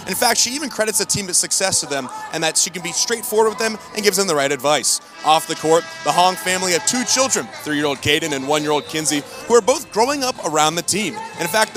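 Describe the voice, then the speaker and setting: Brief: young male sideline reporter, high and rapid, loud music behind him. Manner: brisk, informative delivery.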